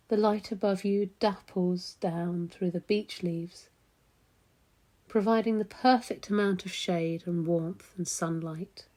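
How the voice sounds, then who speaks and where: A woman guides a meditation at a very slow pace, leaving long pauses between phrases. Her voice is mid-pitched, mellow and relaxed. The delivery is gentle and soothing throughout.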